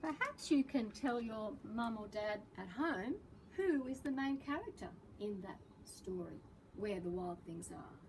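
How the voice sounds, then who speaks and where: A woman reading a picture book aloud to young children as a school librarian, in a theatrical, deliberate manner. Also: medium pitch, expressive, noisy background. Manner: theatrical, deliberate, addresses small children directly.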